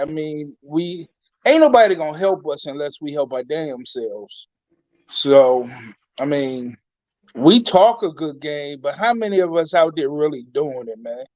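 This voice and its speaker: Guy on a talk panel, kinda high voice, earnest and streetwise phrasing, muffled dry audio. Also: a little mellow, no echo. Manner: earnest, colloquial, rhetorical questions.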